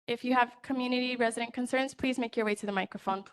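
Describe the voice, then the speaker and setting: A woman's voice: fairly high pitch, steady tone, fast talker, clear, resonant tone. A woman chairing a public neighborhood meeting, procedural and courteous.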